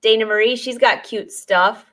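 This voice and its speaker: Woman in her forties, sewing teacher, live-stream chat, fairly high voice, laughs often, studio webcam. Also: steady tone, moderate pace, a bit bright. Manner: chatty, laughs often, reads viewer comments aloud. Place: sewing studio with dress forms, indoors.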